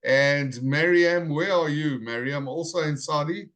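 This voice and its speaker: A man teaching a live online English class over a video call, greeting students and repeating their names slowly and clearly. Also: on the high side, a bit bright.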